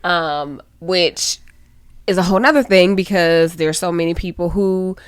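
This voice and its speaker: A woman co-hosting a podcast, chatting colloquially with lively asides. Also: lowish voice, unhurried, clean tone, dry recording, studio quality. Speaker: podcast co-host. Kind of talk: casual chat.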